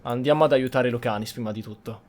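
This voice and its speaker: A man's voice: medium pitch, very fast, a little mellow, a little hiss or hum. A man giving in-character role-play commentary over a video game. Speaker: gamer playing in character.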